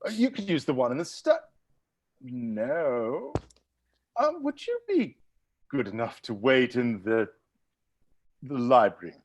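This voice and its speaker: Man as a flustered, stammering butler with swooping pitch. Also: wildly swooping pitch, takes it slowly, long pauses, full character voice. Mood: flustered politeness. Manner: polite, stammering. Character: flustered butler trying to stay composed and courteous. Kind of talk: live table read of a comedy mystery screenplay.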